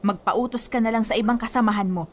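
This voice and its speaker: Fretful, high-voiced Filipino grandmother, acted by a woman. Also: fairly even intonation, quick pace, close to an everyday voice. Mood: alarmed, bossy. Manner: scolding, fretful, insistent. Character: superstitious, worrying, insistent elderly Filipino grandmother. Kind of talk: radio drama acting.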